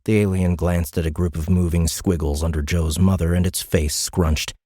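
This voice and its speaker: A man's voice: deep voice, fairly even intonation, normal speed, mellow, slightly rough, dry recording, studio quality. A man narrating a sci-fi audiobook in a dramatic, scripted storytelling style.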